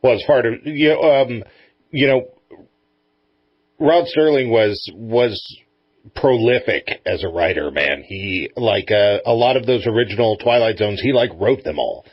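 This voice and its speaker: A man's voice: medium pitch, measured pace, slightly rough, no room sound, muffled recording. A man chatting casually as the interviewer on a talk show. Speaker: interviewer, host.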